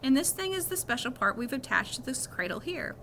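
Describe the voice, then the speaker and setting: A woman's voice: high-pitched, sing-song, brisk, noisy background. A woman voicing a friendly, patient male ear doctor in a storybook, upbeat and explanatory. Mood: upbeat explaining. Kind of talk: storybook read-aloud.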